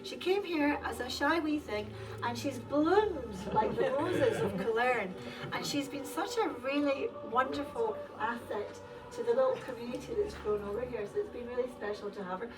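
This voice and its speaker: A woman's voice: high-pitched, normal speed, distant mic. A woman whose first language is Polish, hosting a festive dinner and speaking to the guests with a playful, teasing, laughing manner. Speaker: event host. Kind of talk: lively hosting speech to a crowd. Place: large indoor café hall. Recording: crowd chatter in a large hall. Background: crowd of dinner guests.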